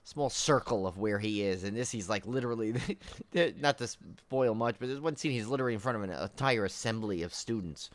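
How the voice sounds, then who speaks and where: A man's voice: lowish voice, fairly quick, dry recording. A man co-hosting a podcast, chatting casually and rambling with lots of filler words. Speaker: podcast co-host. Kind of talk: casual chat.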